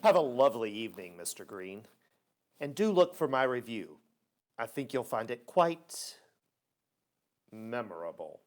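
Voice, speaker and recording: A man acting a smug theater critic in a radio drama. He drawls very slowly, condescending and mock-polite, savoring every gloating phrase. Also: medium pitch, sing-song. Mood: silky, sly.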